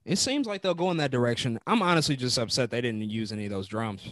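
A man co-hosting a casual wrestling chat, laid-back and thoughtful, often pausing on "um". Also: medium pitch, no echo, studio quality. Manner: relaxed, thoughtful, with fillers. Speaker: wrestling podcast co-host.

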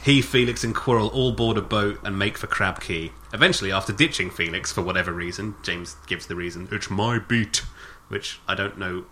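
Male co-host, bright low voice, wry and profane in casual review chat, faint background hiss. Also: normal speed, hardly any pauses. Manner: sarcastic, swears, laughs often while recapping. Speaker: podcast co-host.

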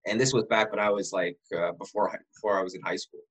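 Rambling low-voiced man from Ottawa. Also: steady tone, quick pace, fairly bright tone, slightly rough, rough recording. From Ottawa, Canada. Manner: rambling storyteller who often restarts words. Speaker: real estate broker, guest. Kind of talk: casual podcast interview.

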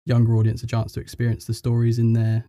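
A man's voice: medium pitch, completely flat delivery, quick pace, mellow, no echo, clean studio sound. A man hosting a podcast, asking questions and chatting in a hesitant, conversational way. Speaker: podcast host, interviewer.

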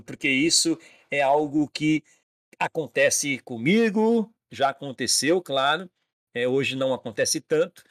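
A man's voice: fairly high pitch, dry recording, studio quality. A man hosting a podcast, teasing his guest in a jokey way and speaking straight to his listeners. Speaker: podcast host. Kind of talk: casual podcast interview.

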